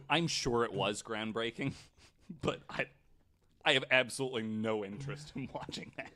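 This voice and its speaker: A man's voice: mid-range voice, normal speed, pushed and bright. A man chatting casually as a podcast panelist, wry and blunt in short interjections.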